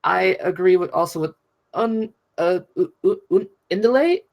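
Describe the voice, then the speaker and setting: A woman giving casual feedback in an online voice chat, hesitant and broken in phrasing, with animated emphasis. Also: fairly low pitch, moderate pace, vocal fry. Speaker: table-read voice performer giving feedback. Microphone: push-to-talk in online voice chat.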